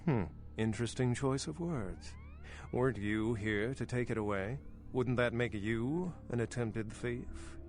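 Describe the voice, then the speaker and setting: A man's voice: mid-range voice, unhurried, darkish tone, lightly acted. A man playing an erudite, skeptical vampire archaeologist in a video game, speaking in an articulate, sardonic and pedantic way. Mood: dryly teasing. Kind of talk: video game dialogue acting.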